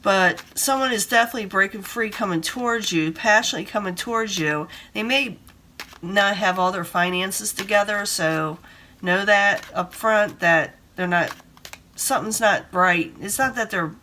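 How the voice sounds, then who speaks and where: A woman reading tarot cards in a casual, rambling way, hoarse from a cold and breathing hard. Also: mid-range voice, moderate pace, sharp tone, a little hiss or hum. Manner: rambling, repeats herself, gives advice. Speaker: tarot reader.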